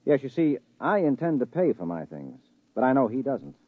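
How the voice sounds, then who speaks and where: An actor in a 1950s radio drama playing a wry, excitable man who earnestly justifies himself. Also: mid-range voice, moderate pace, no echo. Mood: wry, explanatory. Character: wry, self-justifying man, broke book lover. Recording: tinny, phone-like vintage radio recording.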